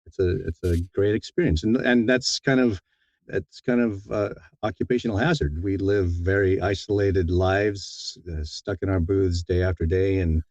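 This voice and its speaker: A middle-aged man speaking as an interview guest, telling his story in a casual, reflective, somewhat halting way. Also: deep voice, darkish tone, no room sound. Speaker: interview guest, audiobook narrator. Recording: slightly dull, dry audio.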